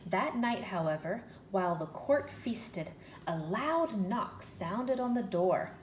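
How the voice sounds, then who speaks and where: A woman teacher reading a children's story aloud in an expressive, deliberate, questioning way. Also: medium pitch. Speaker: first-grade teacher. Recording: echoey room with faint noise.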